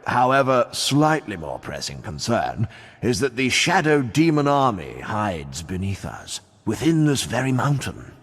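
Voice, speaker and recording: A man's voice: medium pitch, big pitch swings, measured pace, a bit bright, slightly rough, heavily acted. An actor playing a jovial, blustering old-fashioned king with a plummy, pompous upper-class British accent in a video game. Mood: conspiratorial. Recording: long echoing hall reverb.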